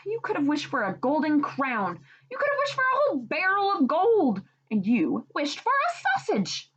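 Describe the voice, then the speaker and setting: A high, shrill storybook wife who scolds her husband without pause, as a woman reading aloud puts her on. Her lines leap and swoop, sharp and exclaiming. The sound is dull and lo-fi. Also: wildly swooping pitch, full character voice. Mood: scolding. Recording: dull lo-fi recording.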